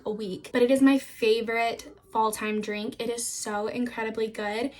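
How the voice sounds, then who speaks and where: A young woman vlogging casually at home, her voice a little raspy and froggy, rambling and relaxed with lots of "like.". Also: on the high side. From Florida. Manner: relaxed, rambling, uses "like" often. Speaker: vlogger, stay-at-home mom. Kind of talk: casual chatty vlog narration.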